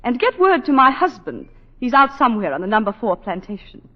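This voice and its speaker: An actress in a 1940s radio drama playing a shaken but controlled plantation wife, clipped and tremulous. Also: female voice, on the high side, big pitch swings, fairly quick, noisy background. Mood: tense, controlled. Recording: muffled 1940s radio recording.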